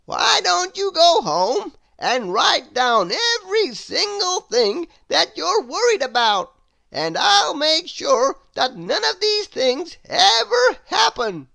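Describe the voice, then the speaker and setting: Woman putting on a high, loud, helpful wizard. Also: big pitch swings, vocal fry, dry recording, full character voice. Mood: helpful, emphatic. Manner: emphatic, theatrical, drawn-out. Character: helpful storybook wizard, male, brisk and reassuring.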